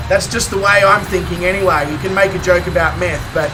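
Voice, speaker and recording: An Australian man streaming a game, chatting casually with viewers in a croaky voice, rambling and chuckling between remarks. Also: sits high, recorded from a distance, background noise. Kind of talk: casual chat with live viewers.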